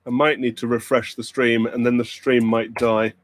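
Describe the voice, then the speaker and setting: A man's voice: mid-range voice, level tone, normal speed, a little hiss or hum. A man with a British RP accent hosting a pub quiz livestream, chatting and joking with viewers. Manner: playful, joking banter with the chat. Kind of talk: casual livestream chat with viewers.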